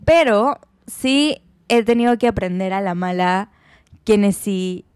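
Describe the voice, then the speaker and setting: A woman, co-hosting a podcast and telling stories in casual chat with quick asides. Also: medium pitch, a little mellow, clean tone, no room sound, clean studio sound. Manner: chatty, storytelling with quick asides. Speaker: podcast co-host.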